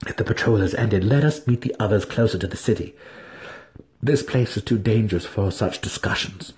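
Man as guarded, mellow young dark elf. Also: fairly low pitch, normal speed, natural voice. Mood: wary. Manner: sober, wary, dry. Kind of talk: dramatic fantasy audiobook character reading.

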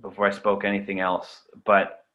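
A man in his thirties with a low, bright voice talks casually as an interview guest, circling his points with fillers and restarts. He grew up in Canada, and German was his first language. The recording is a little dull and lo-fi. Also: moderate pace. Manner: relaxed, rambling with many fillers and restarts.

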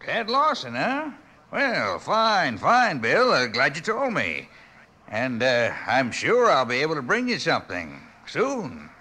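A man's voice: on the high side, wildly swooping pitch, slow pace, full character voice. A man playing a nervous, shifty crime boss in a 1950s radio western, stammering and hesitant, with an American accent. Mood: anxious, impatient. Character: nervous, shifty, two-faced Old West crime boss. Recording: noisy old radio recording.

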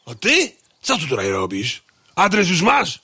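A man playing a brash, gossipy postman creature with a rough voice in a radio play. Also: high voice, huge pitch swings, unhurried, pushed and bright, no room sound, heavily acted. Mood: threatening. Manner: blunt, slangy, gabbling gossip.

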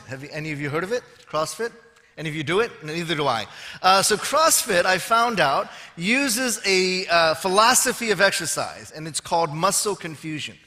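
A man in his fifties delivers a sermon from a stage, his voice high and bright. He teases himself and the audience in a wry, easy way before settling into teaching. Long reverb from the large hall trails after his words. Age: 50s. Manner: wry, joking asides to the audience. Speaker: preacher. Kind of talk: sermon with self-deprecating humor.

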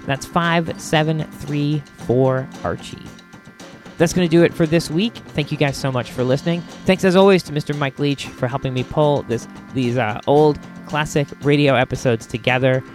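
An American man hosting a podcast, chatting casually to listeners in an enthusiastic, rambling, halting way, close to the microphone. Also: fairly high pitch, faint music behind. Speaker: podcast host.

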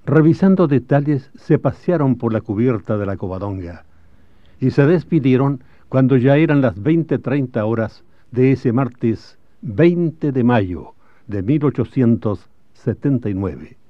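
A man with a Chilean accent narrates a historical radio drama in a formal, measured storytelling manner. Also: mid-range voice, expressive, dry recording. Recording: dull old radio drama recording.

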